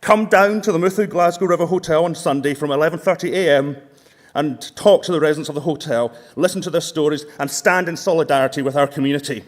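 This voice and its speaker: A man speaks with rousing earnestness to a conference floor, his voice pitched a little high for a man. The delivery is that of a delegate urging support for a motion. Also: fast. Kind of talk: conference speech. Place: large conference hall.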